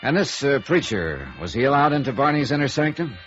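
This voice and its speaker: An actor playing a wry, probing middle-aged American insurance investigator in 1950s radio noir, talking and narrating in a conversational way. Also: male voice, medium pitch, very animated, brisk, a bit bright. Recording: dull vintage radio recording, music behind.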